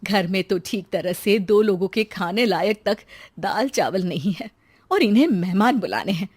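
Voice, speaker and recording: A woman's voice: mid-range voice, expressive, normal speed, darkish tone, husky, voice pushed down. A woman voicing a clever village housewife in a cartoon story, sweetly polite with feigned innocence. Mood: exasperated.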